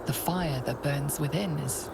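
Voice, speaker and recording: Grave game narrator, low hushed breathy voice, measured, loud ambience underneath. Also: female voice, heavy background noise. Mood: ominous, hushed. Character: grave, ominous female storyteller narrating a fantasy adventure. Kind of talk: scripted second-person game narration.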